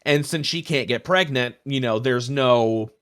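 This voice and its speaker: A man's voice: mid-range voice, measured pace, fairly bright tone, clear, resonant tone. A man co-hosting a casual discussion of tabletop roleplaying, telling stories in an earnest, emphatic way. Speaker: podcast co-host.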